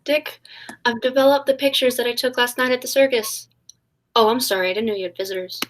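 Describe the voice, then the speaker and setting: A woman's voice: on the high side, steady tone, fairly quick, close to an everyday voice. A woman playing a detective's helpful, concerned young assistant in a 1940s radio play. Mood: polite, concerned.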